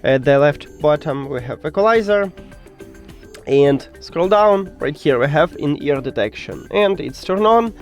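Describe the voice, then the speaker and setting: A man with a moderately high voice walks through a tech tutorial one step at a time. He is a non-native English speaker who pauses and hesitates to find his words. Soft music plays behind him. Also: lively intonation, highs cut off. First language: not English.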